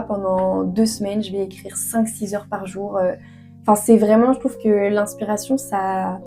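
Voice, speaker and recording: A woman's voice: medium pitch, dark tone. A young woman in her twenties from France, answering interview questions earnestly with many hesitations and fillers. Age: 20s. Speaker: author guest.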